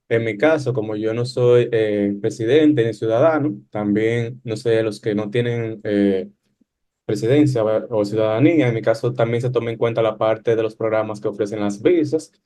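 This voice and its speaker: A man's voice: mid-range voice, steady tone, moderate pace, mellow. A young man with a Dominican accent, an incoming medical resident, explaining carefully with frequent hesitant fillers. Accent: Dominican Spanish. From Dominican Republic. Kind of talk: casual panel chat.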